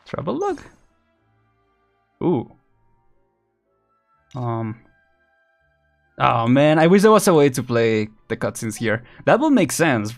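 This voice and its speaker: Male game streamer, mid-pitched, casual and rambling, thinking aloud with music behind him. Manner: rambling, chuckling, thinking out loud. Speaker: live streamer.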